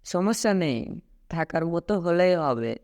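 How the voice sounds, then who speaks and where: A man's voice: on the high side, lively intonation, slow, a little mellow, no echo, studio quality. A man playing an easygoing young traveller who shrugs off worries in a ghost-story cartoon. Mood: unbothered. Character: easygoing young male traveller, unbothered by spooky rooms.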